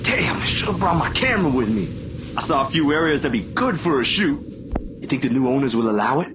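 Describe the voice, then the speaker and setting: An easygoing, teasing young man played by an actor from a horror game. His casual, breezy speech moves around in pitch, with clear noise in the recording. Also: lively intonation, fast talker, lightly acted. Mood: breezy, reassuring. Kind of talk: scripted visual novel dialogue.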